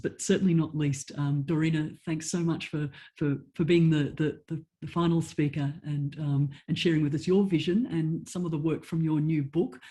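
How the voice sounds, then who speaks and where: A middle-aged woman moderating a webinar panel on a video call, warm and halting, with many restarts. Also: sits low.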